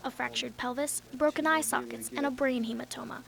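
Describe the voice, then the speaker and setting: A woman's voice: sits high, fairly even intonation, fairly quick, gravelly, fairly bright tone. An American woman working as a TV news reporter, reading scripted broadcast narration with polished, emphatic delivery.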